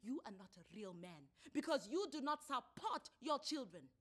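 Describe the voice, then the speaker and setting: Woman doing a furious south african wife, high sharp voice, scolding and scornful, storybook drama. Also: sing-song, moderate pace. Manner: scolding, declamatory.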